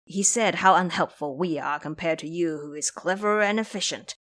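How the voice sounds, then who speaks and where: A woman's voice: lowish voice, normal speed, fairly bright tone, pitched below the performer's own voice, lightly acted. Woman playing a sulky, bumbling male pig monster. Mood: pleading, coaxing. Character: foolish, whining, pouty male pig monster disciple. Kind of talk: audio drama acting.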